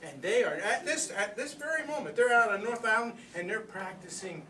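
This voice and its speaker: A man's voice: sits high, expressive, brisk, bright, forceful tone. An older man playing a proud, philosophical old rooster in a folksy, rambling comic monologue. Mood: conspiratorial, indignant. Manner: folksy, rambling, conversational storytelling with repeated phrases. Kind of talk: comic monologue performed live for an audience. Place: indoor workshop room before a group. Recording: echoey room, distant mic, background noise.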